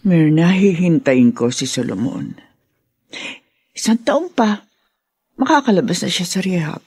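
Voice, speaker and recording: An actress in a Filipino radio drama playing an ailing, doting old mother, breathy and frail, with halting, emotional delivery. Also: fairly low pitch, sing-song, a little mellow, exaggerated voice. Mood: weary, tender.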